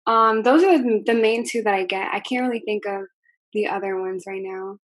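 A young woman from the United States talks in a soft, gentle voice, full of ums and likes as she answers questions. Her voice sits at an ordinary pitch for a woman. The recording is dry, with little room sound. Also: normal speed, no room sound. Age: young adult. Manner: hesitant, many ums and likes. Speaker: singer being interviewed. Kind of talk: casual interview answers.

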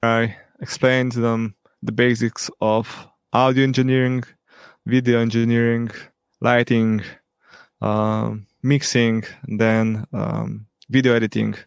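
Slow, gravelly man with frequent ums. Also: medium pitch, flat delivery, no room sound. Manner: hesitant, many ums and pauses. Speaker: teacher and podcast guest. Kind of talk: unscripted interview answers.

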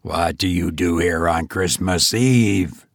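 A male narrator puts on a menacing storybook goblin, deep and gravelly. He is stern and taunting, drawing his questions out slowly. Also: no echo, clean studio sound, heavily acted.

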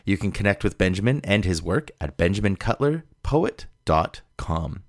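A man with a very low voice speaks as a podcast guest host. His delivery is a conversational read from notes, captured very dry with almost no room sound. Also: very animated, moderate pace, dry recording. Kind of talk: conversational scripted introduction.

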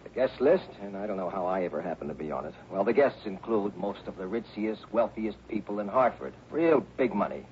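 A man's voice: fairly low pitch, animated, brisk, hardly any pauses, natural voice. Actor as a harried American insurance man, muffled radio. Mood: animated, gossipy. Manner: agitated, exasperated, gossipy. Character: harried, chatty insurance company man, adult. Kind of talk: 1960s radio drama acting. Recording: muffled, hissy old radio broadcast.

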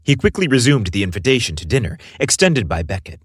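Male storyteller for romantic audio fiction, slightly raspy, very expressive intonation, dry recording. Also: medium pitch, fast talker, no room sound. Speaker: narrator. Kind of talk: expressive scripted romance narration.

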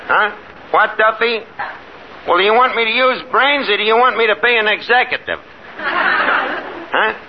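High-pitched, brash tavern wise guy. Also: animated, measured pace, pauses often. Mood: irritated, blustering. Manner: slangy wise guy using pompous big words. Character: brash, scheming, wisecracking tavern manager. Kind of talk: 1950s radio comedy acting. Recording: noisy, muffled old radio recording.

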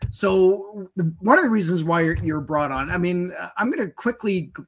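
A man's voice: high voice, moderate pace, a little hiss or hum. A man hosting a live webinar interview, chatty and hesitant, with frequent fillers. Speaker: webinar host.

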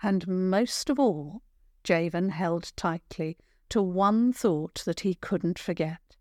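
A woman narrating a scripted children's adventure story in a measured, expressive storytelling manner. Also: lowish voice, dry recording, clean studio sound.

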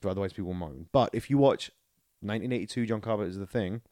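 A man co-hosting a podcast, talking casually and in a rambling, animated way about films and games. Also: on the low side, fast talker, no echo, clean studio sound. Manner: animated, rambling, acts out quotes. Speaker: podcast co-host. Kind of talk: casual film and video game chat.

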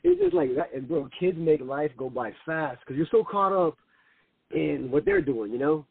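A man's voice: a little high, big pitch swings, moderate pace, creaky, breathy edge, no room sound, phone-quality sound. A man in his late forties chatting casually as a comedian guest, informal and slangy, peppering his talk with "bro" and "man.". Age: late 40s. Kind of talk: casual conversational chat.

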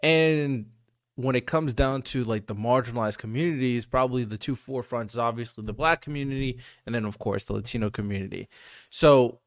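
Male podcast host, mid-pitched, informal and conversational with loose phrasing, recorded very dry. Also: a little fast, no echo. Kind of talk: casual podcast introduction.

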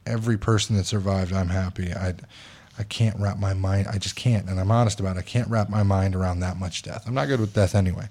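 A man's voice: sits low, steady tone, quick pace, a little mellow. A man hosting a casual podcast, chatting bluntly and reading news posts aloud. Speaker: podcast host.

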